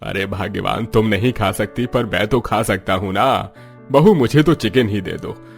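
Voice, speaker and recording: A man's voice: lowish voice, moderate pace, gravelly, soft background music. A man voicing an older, food-loving father-in-law who bosses his family in a cartoon story. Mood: coaxing. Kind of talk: Hindi cartoon story dialogue.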